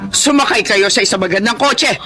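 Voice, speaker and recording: A woman's voice: mid-range voice, a little fast, close to an everyday voice. An actress with a Filipino accent playing a stern, furious older mother in a radio drama. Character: stern, furious older mother scolding a cheating younger woman.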